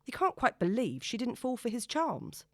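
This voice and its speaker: A woman narrating a novel in a wry, expressive storytelling manner. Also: a little high, moderate pace, no echo, studio quality. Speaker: audiobook narrator.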